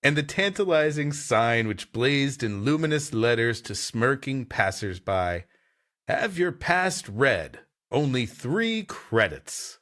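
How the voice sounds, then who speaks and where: A man's voice: mid-range voice, sing-song, slow, fairly bright tone. A man hosting a comedy story podcast, reading prose aloud and bantering with playful, theatrical delivery. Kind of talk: scripted story narration mixed with comic banter.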